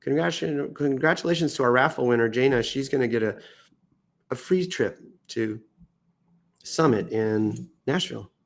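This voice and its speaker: An American man with a mid-pitched voice reads news posts aloud on a livestream. He leans into exaggerated, theatrical emphasis and drops into self-mocking, off-the-cuff asides. He sounds somewhat sick and tired. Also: moderate pace. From United States. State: sick and tiring, coughing now and then. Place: indoors.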